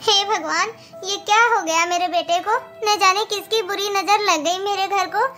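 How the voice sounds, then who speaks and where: A woman acts out a selfish cartoon mother of a grown son. Her voice is extremely high and bright, and she complains melodramatically. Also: steady tone, normal speed, slight background noise. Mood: lamenting. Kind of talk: cartoon moral story acting.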